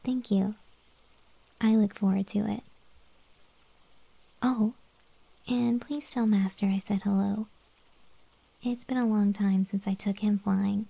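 A woman acts out a gentle gargoyle girl who guards a house at night. Her voice is a breathy, intimate whisper, very dark and mellow, with a crackle of fry. She speaks slowly and softly in an ASMR roleplay style. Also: medium pitch, steady tone, no room sound, heavily acted. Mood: gentle, warm.

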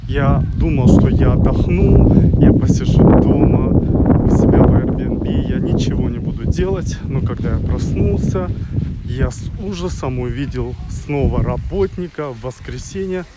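A man around forty talking as a travel vlogger while walking outdoors, pausing mid-sentence and grumbling frankly. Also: medium pitch. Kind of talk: walking vlog monologue to camera. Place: outdoors on a resort town street. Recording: windy outdoor street noise, loud.

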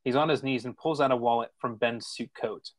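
A man's voice: mid-range voice, brisk, dry recording. Narrator, male: mid-register, informal reading of stage directions, close dry sound. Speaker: narrator of a screenplay table read.

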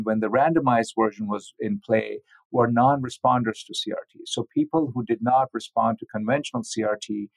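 A man giving measured, methodical explanations as an interviewed medical researcher. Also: fairly low pitch, vocal fry, no room sound, poor audio.

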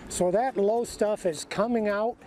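A man's voice: high voice, a little slow, a little mellow, slight background noise. A man with an American accent giving an instructional demonstration in a folksy, plain-spoken way as an educator. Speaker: extension educator, presenter.

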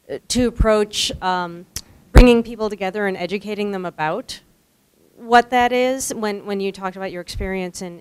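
An older woman in a lecture room audience asks a question, her voice smooth and clear. She is polite but hesitant, pausing and restarting as she goes. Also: mid-range voice, a little mellow, poor audio. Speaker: audience member asking a question.

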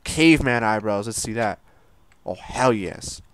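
A man casually commenting on a video game he plays, joking and laughing, jumping from loud squeals to low mumbles. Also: animated, measured pace, smooth. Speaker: gameplay streamer. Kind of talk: casual let's-play commentary.

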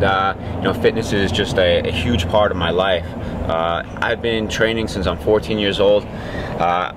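A man, talking casually to camera as a fitness athlete. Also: on the low side. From Queens, New York. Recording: clear background noise, urban ambience. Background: background noise.

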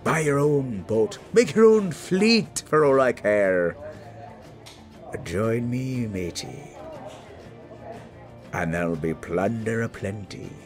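A man voicing a jovial, roguish werewolf pirate captain in a hearty, teasing audio roleplay, with a stage pirate accent and drawn-out words. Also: medium pitch, big pitch swings, faint music behind. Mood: rousing, inviting.

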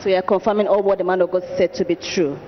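A man conducts a church interview, firing off brisk, prompting questions. His voice sits high and moves quickly. The sound is dull and muffled, with a long echo from a large hall. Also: flat delivery, slight background noise. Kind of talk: testimony interview. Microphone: handheld mic.

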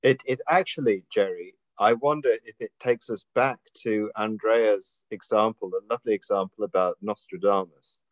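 An older man leads the discussion on a video call in a fairly low voice. He poses questions thoughtfully, and the sound is dry and dull. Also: moderate pace, few pauses, no room sound. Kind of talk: casual academic discussion.

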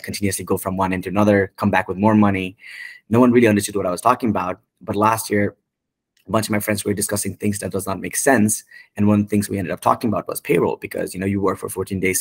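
A man's voice: lowish voice, creaky, breathy edge, no room sound. A man in his twenties with a Nepali accent, answering interview questions in a casual, rambling, informal way on a video call. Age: 20s. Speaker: guest, tech company founder. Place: indoor room, on a video call. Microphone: video call microphone.

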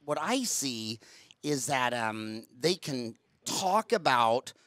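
An older man hosting an interview podcast on a headset mic, talking in an animated, ranting way and repeating himself. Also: medium pitch, slow pace, no echo. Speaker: podcast host. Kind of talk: casual interview chat. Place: home studio with wood-panel wall.

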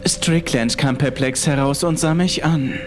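A man's voice: fairly high pitch, a little slow. A man reading a scripted first-person horror story as its narrator. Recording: music behind the voice. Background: background music.

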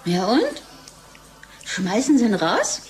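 An actress in a German radio play playing a bored, bitter, hard-drinking wife, sardonic and languid. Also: female voice, medium pitch, wildly swooping pitch, slow pace, soft background music. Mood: teasing.

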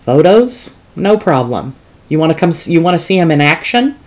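An audiobook reader voicing a loud, greedy middle-aged mall boss who talks like a pushy salesman. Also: medium pitch, big pitch swings, normal speed, voice pushed up, lightly acted. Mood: brash, salesy. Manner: brash, wheeling-dealing salesman talk. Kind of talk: audiobook character voice.

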